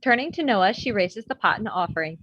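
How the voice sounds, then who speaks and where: A woman's voice: mid-range voice, lively intonation, a little fast, a bit bright, muffled recording, a little hiss or hum. A woman narrating stage directions in a scripted comedy screenplay reading.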